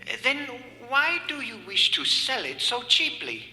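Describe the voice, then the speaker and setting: An actor playing a polite, wary, formal villain in an old-time radio drama, courteous and slightly hesitant. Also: male voice, very high-pitched, huge pitch swings, measured pace, pushed and bright, full character voice. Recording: echoey hall with long reverb.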